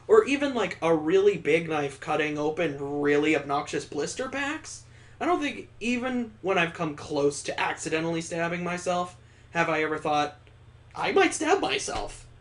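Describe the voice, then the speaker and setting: A man's voice: high voice, expressive, normal speed, fairly bright tone. A man reading a visual novel aloud as a let's play host, dropping in wry, casual comments of his own. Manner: wry, joking asides between read passages.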